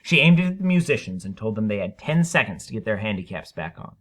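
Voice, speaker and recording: A man's voice: lowish voice, big pitch swings, a bit bright. A man narrating a short story as a dramatic reading, with theatrical, emphatic delivery. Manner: theatrical, emphatic, deliberate phrasing.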